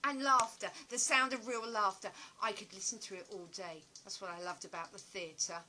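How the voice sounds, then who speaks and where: A woman playing a chatty, opinionated, nostalgic stage actress in an audio play, with a dramatic, expressive storytelling manner. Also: mid-range voice, normal speed, a little hiss or hum, poor audio. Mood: nostalgic, earnest.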